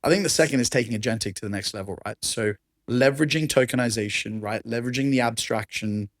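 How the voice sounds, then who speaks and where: Raspy aussie guy chatting on a podcast, gets excited, laughs a lot. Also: medium pitch, quick pace, dry recording, clean studio sound. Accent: Australian. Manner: enthusiastic, conversational, laughs often. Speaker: podcast guest. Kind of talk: casual chat.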